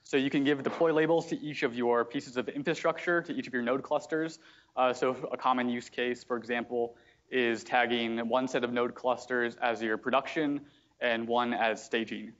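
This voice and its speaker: A man explaining software step by step in a live technical demo at a meetup, with frequent fillers. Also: mid-range voice, steady tone, normal speed, highs cut off. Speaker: software engineer giving a product demo. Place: meetup room at a company office.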